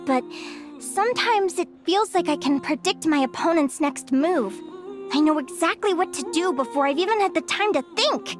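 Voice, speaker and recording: An actress voicing an earnest, cheerful young winged girl who is not human and talks eagerly. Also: very high voice. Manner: cheerful, eager, a bit flustered at times. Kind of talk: video game voice acting. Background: game music.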